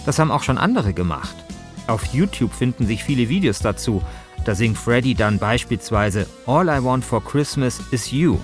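A man with a mid-pitched voice narrates a podcast from a script in colloquial wording. Music plays clearly behind him. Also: lively intonation, normal speed.

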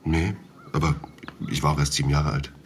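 A hesitant villager in his thirties, very low voice, faint hiss, audio drama. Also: level tone, a little fast. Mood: recalling. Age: 30s. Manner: hesitant, many fillers and small slips. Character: hesitant village man, father of two, helpful. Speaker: villager and witness.

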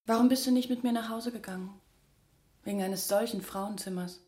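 A woman's voice: medium pitch, fairly even intonation, a little fast, a little mellow, natural voice. Indignant, jealous village woman played by an actress. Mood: hurt, scornful. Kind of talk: literary radio drama acting.

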